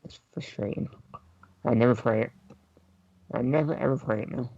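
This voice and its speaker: A man on a gaming podcast panel, talking casually in short, halting pieces. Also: mid-range voice, gravelly, darkish tone, no room sound, dull audio.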